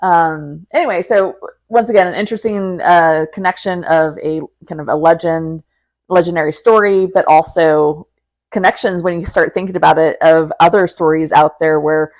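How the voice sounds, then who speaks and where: A woman's voice: sits low, fairly even intonation, moderate pace, darkish tone. A woman co-hosting a podcast in a casual discussion. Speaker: podcast co-host.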